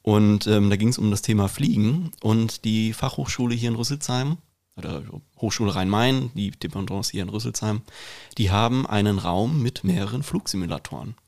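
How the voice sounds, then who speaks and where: A man with a fairly low voice co-hosts a casual conversation. He wanders through his thoughts with a wry, chatty manner. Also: moderate pace, darkish tone. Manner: rambling, wry. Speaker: podcast co-host. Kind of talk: casual chat.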